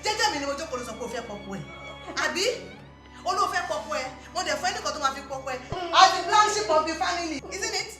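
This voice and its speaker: A woman's voice: high-pitched, animated, very fast. A woman playing a shrewd older aunt who mocks, then lectures her niece. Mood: mocking. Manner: brusque, mocking, then lecturing. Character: shrewd, scolding older aunt giving advice. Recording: roomy with music behind.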